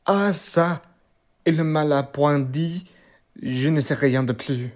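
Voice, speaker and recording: Guy as a humble innkeeper, talks slow and careful, amateur radio play. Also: on the high side. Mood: apologetic, obedient. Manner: deferential, deliberate. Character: deferential, unhurried country innkeeper.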